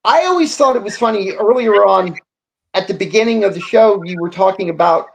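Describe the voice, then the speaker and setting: A man's voice: high voice, creaky, rough recording. Reflective man in casual sports discussion. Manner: halting, repeats words, reflective. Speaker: sports talk show co-host or guest.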